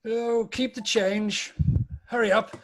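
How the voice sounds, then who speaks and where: A man's voice: high voice, flat delivery, takes it slowly, poor audio. A British man playing an anguished, repentant old miser in a theatrical, pleading dramatic table read. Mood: joyful.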